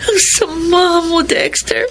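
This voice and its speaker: Trusting young woman in love, Filipino accent, piercingly high pitch, melodramatic, radio drama, clear background noise. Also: fairly even intonation, slow pace, fairly bright tone, exaggerated voice. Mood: hurt, tearful. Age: young adult. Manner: melodramatic, earnest.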